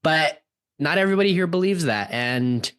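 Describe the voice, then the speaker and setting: A man's voice: on the high side, lively intonation, normal speed, fairly bright tone, clean tone, no room sound, pitched above the performer's own voice. A man playing an anxious, evasive young man in an amateur radio play, hesitant and defensive, often repeating himself. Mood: flustered, defensive.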